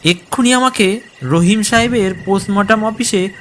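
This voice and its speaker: A man's voice: high-pitched, normal speed, a bit bright, slight background noise. A man narrating a scripted horror story in the first person, in a dramatic storytelling style.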